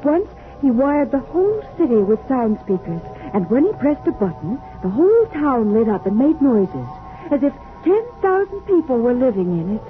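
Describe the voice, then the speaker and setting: Poised young wife, swooping intonation. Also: female voice, high voice, very animated, normal speed, hardly any pauses, faint music behind. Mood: wistful, reserved. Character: poised, enigmatic young wife, devoted and wistful. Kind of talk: 1950s radio drama acting. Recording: tinny old radio broadcast.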